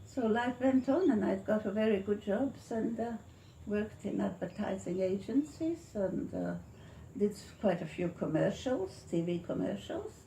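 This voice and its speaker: A woman in her eighties with a Latvian accent, recalling her life in an interview, haltingly and searching for words. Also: mid-range voice, animated, vocal fry, dark tone. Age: 80s. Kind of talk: oral history reminiscence. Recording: echoey room with faint noise.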